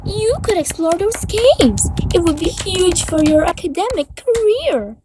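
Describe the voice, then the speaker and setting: A woman's voice: extremely high pitch, big pitch swings, a little slow, full character voice. A woman voicing a learned, self-assured cartoon pony professor, formal and lecturing, in a comic dub.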